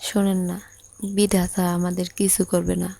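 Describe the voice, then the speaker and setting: A woman's voice: on the low side, flat delivery, moderate pace, gravelly, dark tone. A woman playing a lovesick young bride in an audio story, pleading insistently and plaintively with a Bangladeshi accent. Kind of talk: Bengali audio story acted as a phone conversation.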